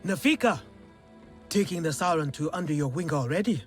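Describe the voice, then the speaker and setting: A woman playing a stern, challenging alien hunting mentor with a husky, breathy voice and probing manner in a game cutscene with music. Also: deep voice, animated, a little fast. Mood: wry. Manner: probing, skeptical. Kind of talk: video game cutscene acting. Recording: music behind the voice.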